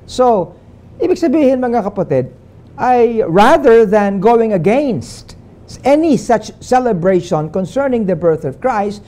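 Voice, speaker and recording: An older man from the Philippines with a Filipino accent, preaching on television and moving between English and Tagalog with a measured preaching cadence. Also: high voice, lively intonation, warm tone. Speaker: pastor. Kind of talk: televised sermon. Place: small studio set indoors. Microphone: lapel.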